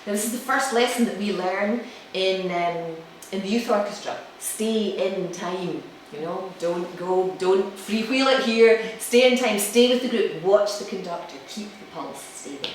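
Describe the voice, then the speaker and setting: A woman giving a live explanatory talk as a musician, with halting pauses. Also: medium pitch. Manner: explanatory, halting pauses, counts beats aloud. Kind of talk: live lecture with demonstrations. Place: indoor room with an audience. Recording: distant mic, very echoey room, faint noise.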